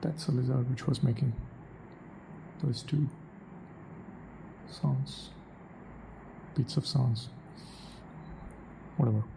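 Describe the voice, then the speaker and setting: Gravelly Indian-accented man thinking aloud. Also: medium pitch, steady tone, takes it slowly, pauses often, noisy background. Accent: Indian English. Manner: reflective, thinking aloud with restarts. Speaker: podcaster. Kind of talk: solo spoken monologue.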